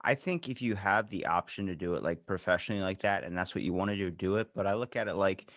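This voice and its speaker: A man's voice: sits low, quick pace, fairly bright tone, no room sound, studio quality. A man in his twenties hosting a podcast, talking bluntly and swearing often in a casual rant. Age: 20s. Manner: blunt, swears a lot, ranting. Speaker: podcast host.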